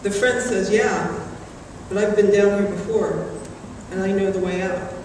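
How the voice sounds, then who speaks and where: A middle-aged woman delivering a prepared graduation speech in a measured, earnest way at a podium microphone in a large hall. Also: medium pitch, steady tone. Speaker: graduating student class speaker. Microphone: podium microphone, recorded from the audience. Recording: very echoey hall, distant, background noise.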